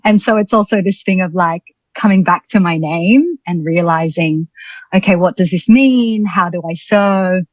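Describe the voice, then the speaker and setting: A woman's voice: fairly low pitch, normal speed, no echo, lo-fi sound. A woman, a podcast guest thoughtfully telling her life story with many ums and restarts. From Australia. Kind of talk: reflective personal storytelling.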